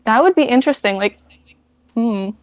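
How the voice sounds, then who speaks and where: A woman's voice: a little high, lively intonation, normal speed, dry recording. An American woman giving an interview as a guest, chatting informally and thinking aloud. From United States. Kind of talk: casual chat.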